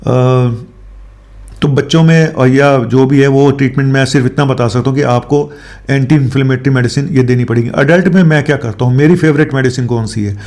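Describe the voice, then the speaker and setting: A man's voice: medium pitch, steady tone, a little fast, a little mellow. A man speaking as a doctor on his health show, explaining informally with English medical terms mixed in and frequent tag questions.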